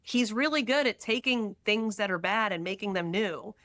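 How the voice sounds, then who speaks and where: A pregnant woman from Texas, a podcast guest who talks in quick, animated bursts and tells stories with dramatic asides. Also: medium pitch. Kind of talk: casual faith-centered conversation.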